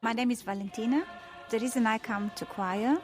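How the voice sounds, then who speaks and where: A woman from Bulgaria with a fairly high voice shares personal remarks as a choir member. Music is clearly audible behind her. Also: steady tone, a little fast.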